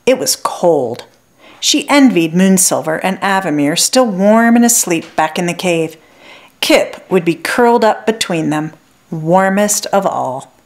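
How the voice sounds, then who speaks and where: A woman reading a children's novel aloud as narrator, with an expressive storybook delivery. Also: on the low side.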